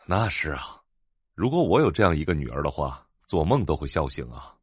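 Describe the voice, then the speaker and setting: An actor playing an excitable, admiring middle-aged husband and father, exclaiming earnestly. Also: a bass voice, brisk, husky, no room sound, studio quality, full character voice.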